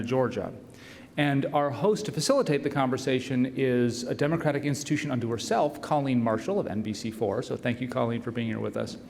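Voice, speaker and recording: A man's voice: medium pitch, a little mellow. A man who is a university president, giving an earnest, conversational welcome speech and introducing the speaker. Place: large hall. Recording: roomy hall reverb, faint noise.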